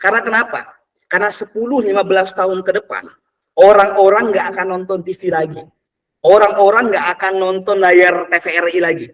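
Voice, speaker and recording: A man in his early twenties giving an energetic motivational talk to an audience, repeating phrases and calling out to the crowd. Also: sits high, brisk, distant mic. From Indonesia. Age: 20s. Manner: energetic, repetitive, calls out "teman-teman" often. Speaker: motivational speaker.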